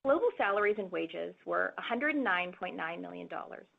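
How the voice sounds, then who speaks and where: A woman executive reading a scripted financial presentation in a formal, measured, businesslike way. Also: fairly low pitch, expressive, gravelly. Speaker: corporate finance executive presenting on an earnings call. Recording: phone-like, narrow-band conference call audio.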